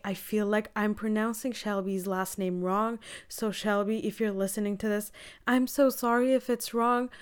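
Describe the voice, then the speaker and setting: A woman's voice: medium pitch, smooth. A woman hosting an arts radio show in a chatty, informal, self-deprecating way. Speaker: radio show host and interviewer. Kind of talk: casual arts radio presenting.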